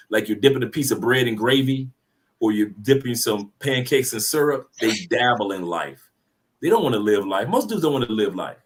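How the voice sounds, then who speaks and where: A man in his fifties lecturing emphatically in a live discussion, repeating his points for force. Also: fairly low pitch. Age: 50s. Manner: preachy, emphatic, repeats phrases. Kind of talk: passionate call-in discussion.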